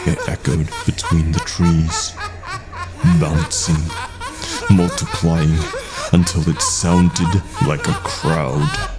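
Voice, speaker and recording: A man narrating a scripted first-person horror story in a hushed, ominous, deliberate manner. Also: a bass voice, fairly even intonation, music in the background.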